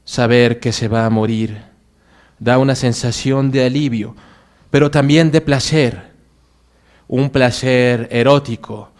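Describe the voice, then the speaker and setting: An actor playing a haunted, dying Victorian writer in a theatrical drama, speaking in a measured, literary and mournful way. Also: male voice, medium pitch, steady tone, darkish tone, natural voice. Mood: morbid, anguished. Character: dying Victorian male writer, melancholy, haunted, wounded poet. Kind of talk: theatrical stage-drama dialogue.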